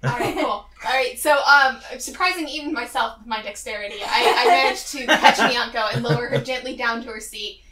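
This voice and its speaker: A woman's voice: fairly high pitch, fairly quick, hardly any pauses, bright, forceful tone. A woman casually chatting and improvising as a player in a tabletop role-playing game. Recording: noisy echoey room, distant mic, clicks.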